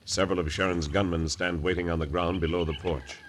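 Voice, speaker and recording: A man's voice: low voice, steady tone, a little fast, slight background noise. A man announcing and narrating a western in a grand, dramatic vintage radio style. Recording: old radio recording with organ music.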